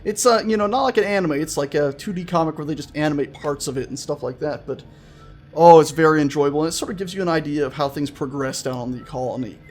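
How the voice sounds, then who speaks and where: A man's voice: on the high side, fast talker. A man hosting a gaming video with casual, chatty, playful and enthusiastic let's-play commentary. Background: game music.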